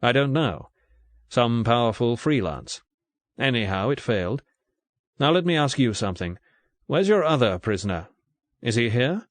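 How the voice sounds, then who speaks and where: A man voicing a cool, wry male British secret agent who answers in crisp, terse lines. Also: mid-range voice, lively intonation, moderate pace, long pauses, dry recording, natural voice. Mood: clipped, wry.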